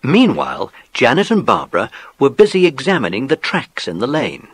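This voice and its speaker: A British man narrating a dramatised children's story in an expressive storytelling manner. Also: mid-range voice, normal speed, husky, no echo. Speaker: audiobook narrator.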